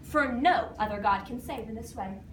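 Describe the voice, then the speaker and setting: A woman's voice: on the high side, normal speed, close to an everyday voice. A woman playing a proud, wrathful ancient king in a dramatic, declamatory recitation, commanding and emphatic. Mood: awed, decreeing. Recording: distant mic in noisy, echoey room.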